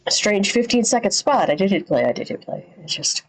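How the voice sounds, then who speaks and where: A woman's voice: medium pitch, very animated, fairly quick. A woman livestream host giving casual, slangy reaction commentary, repeating phrases and swearing a little.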